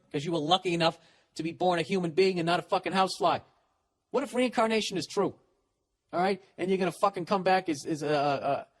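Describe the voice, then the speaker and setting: A man in his forties rants alone on his podcast, swearing constantly and piling on sarcasm. His speaking voice sits high for a man. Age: 40s. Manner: profane, ranting, sarcastic. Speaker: podcast host. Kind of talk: solo comedic rant.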